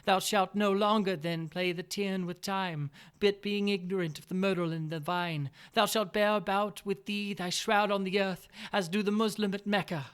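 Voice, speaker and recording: A solemn, mystical young wife on her deathbed, voiced by a man reading a storybook aloud. Her voice sits higher than the reader's own and rings out louder, drawing out each archaic phrase like a prophecy. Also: fairly even intonation, clear, resonant tone, lightly acted. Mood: solemn prophetic. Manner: intoned, archaic solemn speech, drawn-out phrases.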